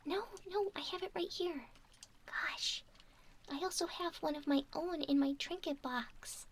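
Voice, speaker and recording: A woman playing an excitable, sweet young mermaid in a soft, breathy, gushing ASMR roleplay. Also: very high-pitched, a little slow. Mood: bubbly, reassuring. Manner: gushing, apologetic, easily distracted. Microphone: 3Dio binaural microphone, close. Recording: close binaural mic with background ambient noise.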